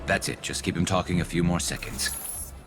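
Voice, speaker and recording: Vengeful alien sniper, game drama. Rock-bottom register, gravel, dry and brooding delivery. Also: male voice, sits low, steady tone, moderate pace, over music. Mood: vengeful, tense. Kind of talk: video game dialogue acting.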